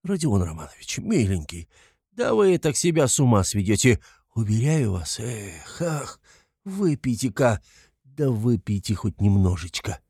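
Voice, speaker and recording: A man's voice: lowish voice, very animated, measured pace, dark tone, slightly rough, no room sound, studio quality, full character voice. A man playing a wily, fussy police investigator from a classic novel, falsely fatherly, rambling and coaxing in an obsequious way. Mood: anxious coaxing.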